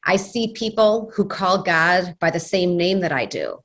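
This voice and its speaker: A woman reciting a poem in a solemn, measured way. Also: fairly low pitch, animated, a little hiss or hum.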